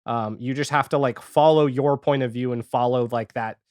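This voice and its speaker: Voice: mid-range voice, fairly even intonation, moderate pace, darkish tone, no room sound, clean studio sound. A podcast guest chatting casually and candidly about their own experiences, with wry, self-deprecating asides. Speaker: podcast guest, audio fiction creator. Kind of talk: casual interview chat.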